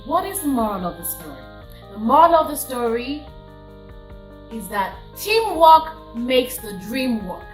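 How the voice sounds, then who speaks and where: A woman telling folktales to viewers in a deliberate way, repeating phrases for emphasis. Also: on the high side, very animated, roomy sound, faint music behind, poor audio. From Nigeria. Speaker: storyteller and singer hosting a folktale channel. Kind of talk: oral folktale storytelling to viewers.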